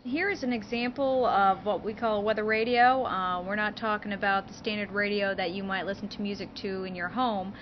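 Young female weather service presenter, halting explainer delivery, dull office audio. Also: medium pitch, fairly even intonation. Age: young adult. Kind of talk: informal educational demonstration. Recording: muffled audio with clear background noise.

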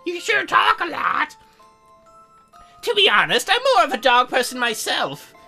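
A man voicing a teasing young female demon dealmaker in a coy, formal, measured way for a game. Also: very high voice, big pitch swings, creaky, sharp tone, faint music behind, pitched above the performer's own voice, exaggerated voice. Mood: prim, teasing.

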